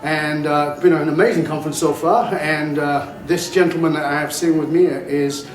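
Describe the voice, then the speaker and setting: A man's voice: on the high side, steady tone, normal speed. Male interviewer in an echoey room. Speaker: podcast host and interviewer. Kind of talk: conversational interview. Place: conference venue. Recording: roomy echo, music behind.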